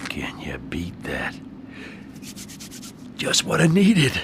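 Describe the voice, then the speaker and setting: Cocky, low-voiced street tough. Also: male voice, huge pitch swings, slow pace, in a whisper, full character voice. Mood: greedy, intrigued. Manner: slangy, swaggering tough-guy talk. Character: cocky, scheming male street drifter, tough and insolent. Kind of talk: radio drama acting.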